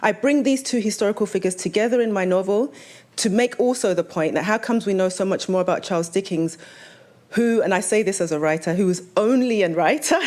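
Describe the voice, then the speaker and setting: A woman's voice: mid-range voice, fairly quick, darkish tone. A woman novelist talking about her own work as a panelist, in a conversational way that draws the audience in with questions.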